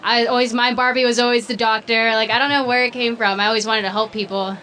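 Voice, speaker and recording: Bright-voiced chatty young woman. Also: on the high side, steady tone, fast. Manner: chatty, earnest. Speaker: premed student interviewee. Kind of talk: casual interview answers.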